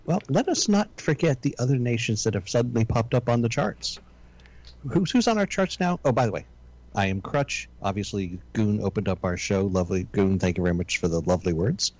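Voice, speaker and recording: A man co-hosting a casual comedy podcast, joking and reacting quickly. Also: on the low side, expressive, darkish tone, poor audio. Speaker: podcast co-host.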